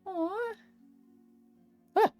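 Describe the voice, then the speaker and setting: A streamer chatting casually and calmly while beading at a home desk, rambling gently with many fillers. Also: very high voice, drawn-out words, soft background music. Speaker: crafting streamer.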